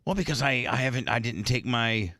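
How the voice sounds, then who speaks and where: A man hosting a morning radio show, talking casually in halting, rambling bursts with many restarts, sounding a bit congested. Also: medium pitch, expressive, a bit bright, no room sound, studio quality. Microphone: studio microphone.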